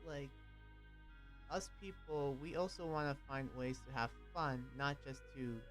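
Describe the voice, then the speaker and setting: Young man roleplaying, halting and filler-heavy. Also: medium pitch, a bit bright, soft background music. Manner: hesitant, full of fillers and restarts. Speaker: roleplay player and channel host. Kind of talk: in-character roleplay chat.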